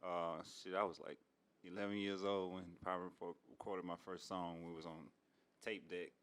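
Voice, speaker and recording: Deep monotone man from Louisiana. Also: moderate pace. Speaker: guest artist. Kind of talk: casual interview chat.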